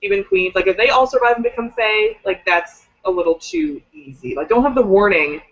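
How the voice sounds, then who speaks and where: A woman's voice: medium pitch, animated, a little fast. Female discussion co-host, middle register, conversational and questioning, lo-fi video-call sound. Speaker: co-host of a book discussion livestream. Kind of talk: casual chat. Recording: dull, lo-fi livestream audio.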